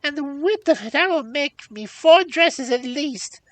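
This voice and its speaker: A woman's voice: a little high, animated, measured pace, pushed and bright, no echo, voice pushed up, exaggerated voice. A woman voicing a miserly old hag in a storybook, grumbling, sarcastic and mocking as she haggles. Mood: greedy, delighted. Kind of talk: storybook character voice.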